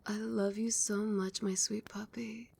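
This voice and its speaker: Soft whispery vampire queen all doting and possessive, a woman doing it asmr style right up on the mic. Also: mid-range voice, little pitch movement, a little slow, vocal fry, slight background noise. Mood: tender. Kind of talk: ASMR roleplay. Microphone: close mic.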